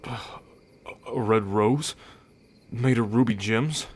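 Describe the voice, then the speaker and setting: A man playing a wary, wounded half-blood bull man in an ASMR roleplay, speaking in a halting, guarded way. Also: mid-range voice, slightly rough. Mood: doubtful. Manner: halting, guarded, hesitant delivery. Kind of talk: ASMR audio roleplay acting.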